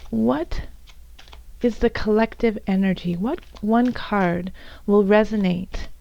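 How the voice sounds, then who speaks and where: A woman with a raspy, hoarse voice from bronchitis, softly reading tarot cards for her viewers, at times almost whispering. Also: mid-range voice, takes it slowly, dull audio. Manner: soft, at times whispery, with fillers and restarts. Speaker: tarot reader.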